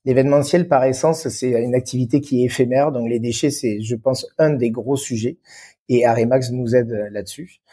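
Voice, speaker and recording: A mellow-voiced man with a regional French accent, answering as a guest on a business podcast, circling back over his phrases as he searches for words. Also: mid-range voice, steady tone, moderate pace, breathy edge. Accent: French, non-Lyon regional. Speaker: interview guest, event venue professional. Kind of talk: conversational explanation in an interview.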